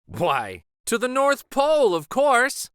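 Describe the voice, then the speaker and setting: A man's voice: very high-pitched, huge pitch swings, slow pace, a bit bright, clear, resonant tone, dry recording, studio quality, voice pushed up, heavily acted. A man voicing a jovial, theatrical train conductor in a children's storybook read-aloud. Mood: booming, inviting. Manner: theatrical, emphatic. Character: jovial booming adult male train conductor.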